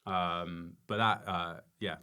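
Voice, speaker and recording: Man, very low pitch, casual interview talk, halting, self-correcting, emphatic repeats. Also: fairly even intonation, bright, forceful tone, clean tone. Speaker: podcast guest, stand-up comedian and former teacher.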